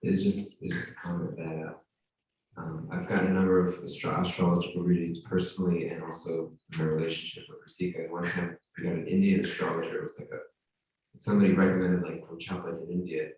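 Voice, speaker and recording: A man with a loud voice telling a personal story hesitantly, with many restarts. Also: deep voice, flat delivery, quick pace. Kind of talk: casual personal anecdote. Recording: echoey hall, distant mic, faint noise.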